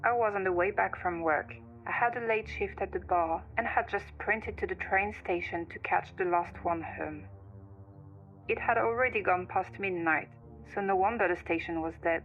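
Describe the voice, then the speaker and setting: A woman's voice: medium pitch, normal speed, highs cut off. A woman reads a scripted first-person horror story as its narrator, like someone recounting a chilling tale. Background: music.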